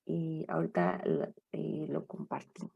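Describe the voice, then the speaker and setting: A woman teacher leading an online class, speaking in a halting, encouraging way over a video call mic. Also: low-pitched, very animated, vocal fry, slightly rough, lo-fi sound. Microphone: computer mic on a video call.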